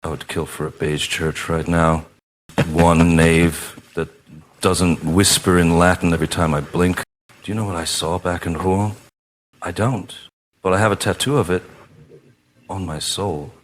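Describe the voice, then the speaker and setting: A low male voice acted by a man gives us a world-weary, half-mad gonzo reporter. He is ominous and darkly sardonic, delivering monologues in a radio comedy. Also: fairly even intonation, a little slow. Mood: frayed, sardonic.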